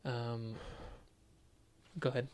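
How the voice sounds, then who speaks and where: A man co-hosting a podcast in a casual, halting, tongue-in-cheek way. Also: mid-range voice, flat delivery, a little mellow, smooth. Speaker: podcast co-host.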